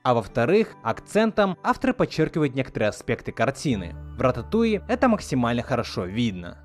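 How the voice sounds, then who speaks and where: A man's voice: medium pitch, sing-song, fairly quick, soft background music. A man hosting a video series, reading a scripted video essay. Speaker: host of a video series on film dubbing.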